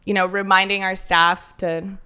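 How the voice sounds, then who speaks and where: Woman with a nasally voice, bartender talking shop, lively chatty storyteller, mid pitch. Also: normal speed, smooth. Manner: chatty, animated storytelling with quoted asides. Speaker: bartender and cocktail menu creator. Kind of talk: casual podcast conversation.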